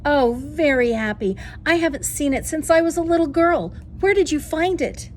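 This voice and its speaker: A woman voicing a tender, nostalgic elderly great aunt in an expressive children's storybook reading. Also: high-pitched, moderate pace, a little hiss or hum, pitched above the performer's own voice, natural voice. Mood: happy, eager. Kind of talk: children's storybook read-aloud.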